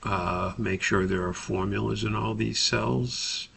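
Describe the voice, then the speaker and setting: A man giving a methodical, step-by-step software tutorial as an instructor, explaining in a somewhat hesitant way. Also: fairly low pitch, steady tone, measured pace, gravelly, husky.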